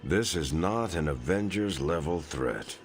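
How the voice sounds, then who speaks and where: A man's voice: deep voice, lively intonation, measured pace, faint music behind. An actor playing a principled male soldier and leader who speaks steadily and commandingly. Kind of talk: video game cutscene acting.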